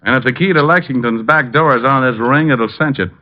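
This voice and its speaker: An actor playing a wisecracking, hard-boiled private detective in an old radio crime drama, with a wry, needling, slangy delivery. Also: male voice, mid-range voice, brisk, close to an everyday voice. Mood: confident, accusing. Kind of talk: 1940s-50s radio crime drama acting. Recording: muffled old radio broadcast.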